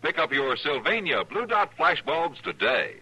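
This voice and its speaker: A man's voice: fairly high pitch, sing-song, moderate pace, very noisy. A male announcer reading a persuasive 1950s radio ad and credits. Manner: smooth, persuasive, emphatic.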